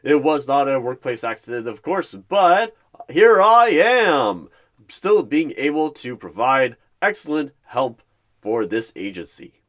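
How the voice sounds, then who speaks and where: A man's voice: mid-range voice, very animated, a little slow, a bit bright. A man playing an eager, awkward recruiter in a roleplay job interview, with a stilted, theatrical manner. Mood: earnest. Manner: theatrical, announcer-like bursts, stilted third-person self-narration. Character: eager, awkward male recruiter narrating himself in third person.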